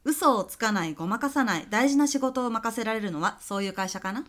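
A woman's voice: fairly high pitch, a little fast, a bit bright, natural voice. A woman playing a sly senior client executive in a comedy radio sketch, talking in a casual, chummy way that probes pointedly. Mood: cool, pointed. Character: sly, breezy senior female client executive, testing a vendor.

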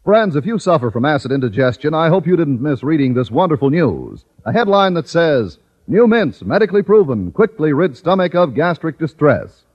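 A man's voice: big pitch swings, moderate pace, hardly any pauses, a little mellow. An American man announcing a scripted commercial on old-time radio with an emphatic sales delivery. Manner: emphatic, declarative sales pitch. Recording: dull old radio recording.

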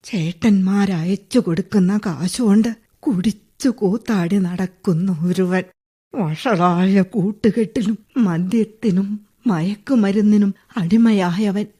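An actress playing an old bedridden mother in a radio play, speaking slowly in a husky, resigned voice. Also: mid-range voice, dark tone. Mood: bitter. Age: older. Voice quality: husky, slow and heavy. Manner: resigned, tearful at times. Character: old bedridden widowed mother, weary and bitter. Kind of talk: radio drama acting.

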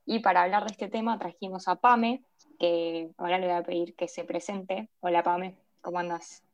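A woman's voice: fairly low pitch, steady tone, moderate pace, creaky. A woman hosts a podcast. She talks casually, introducing the show and greeting the people on it. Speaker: podcast host.

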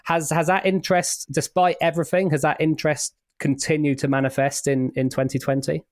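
A man hosting a podcast interview, speaking in a halting way and often repeating words. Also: on the high side, flat delivery, no room sound, studio quality. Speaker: podcast host.

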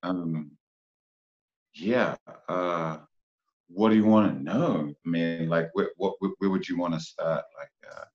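A low-pitched man with a New Zealand accent talks casually as an interview guest. He speaks haltingly, restarting his sentences and filling gaps with ums. The sound is dull and lo-fi. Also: soft background music. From New Zealand, Samoan-born. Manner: halting, many fillers and restarts. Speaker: guest, film actor.

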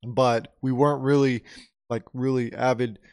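A man from the USA co-hosts a podcast in a smooth, clear voice at a middle pitch. He talks loosely and casually, filling his sentences with "um" and "you know". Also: moderate pace. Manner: relaxed, filler-heavy, lots of "you know" and "um". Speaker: podcast co-host.

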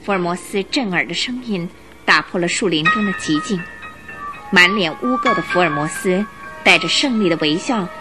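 A woman's voice: lowish voice, lively intonation, normal speed, poor audio. A woman delivering dramatic, suspenseful scripted narration for a radio drama. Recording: radio drama with music underneath.

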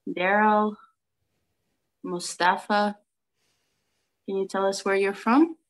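A woman's voice: medium pitch, flat delivery, slow. A woman from Brooklyn, co-hosting a video call meetup in a friendly, informal way. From Brooklyn, New York. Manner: friendly, informal, with fillers and restarts. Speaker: co-host.

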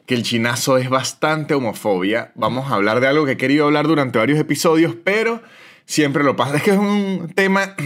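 Chatty Venezuelan man hosting a podcast. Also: fairly high pitch. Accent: Venezuelan Spanish. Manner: chatty, animated, swings between loud and soft. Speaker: podcast host. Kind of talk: casual solo podcast monologue with ad reads.

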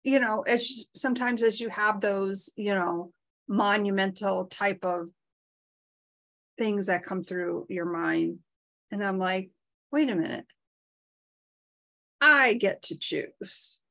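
A woman co-hosting a live show over a video call. She talks in a frank, down-to-earth way and pokes fun at herself. Her voice sits at an ordinary middle pitch. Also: takes it slowly, long pauses, fairly bright tone. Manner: frank, down-to-earth, self-deprecating. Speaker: co-host of a live talk show. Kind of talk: casual motivational chat.

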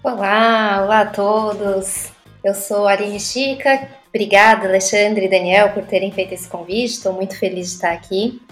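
Woman, thirty, Brazilian accent, podcast guest, casual, medium pitch, roomy echo, soft music behind. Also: fairly even intonation, moderate pace. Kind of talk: casual chat.

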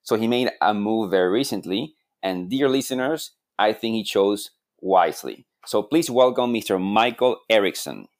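A man's voice: lowish voice, animated, moderate pace, hardly any pauses. A man co-hosting a podcast, introducing the guest with wry jokes. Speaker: podcast co-host. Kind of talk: podcast interview.

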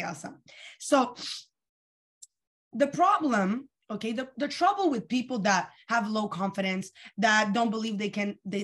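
A young woman runs a weekly training call with lots of energy, often asking her audience questions. Her voice is a bit tired and worn, and it sits at an ordinary pitch for a woman. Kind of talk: live online coaching session.

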